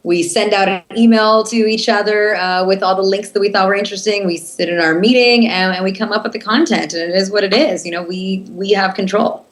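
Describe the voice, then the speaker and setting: A young woman, answering interview questions earnestly and passionately in a steady, flowing way. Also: mid-range voice, fairly quick, few pauses. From United States. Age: 20s. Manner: earnest, passionate, steady flow with filler restarts. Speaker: guest, TV news host.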